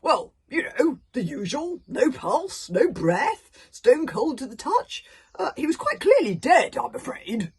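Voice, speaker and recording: A woman voicing a burly, awkward Viking chieftain father from a storybook in a booming, declamatory way. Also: very animated, a little slow, a little mellow, breathy edge, full character voice. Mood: blustering. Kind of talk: storybook character voice.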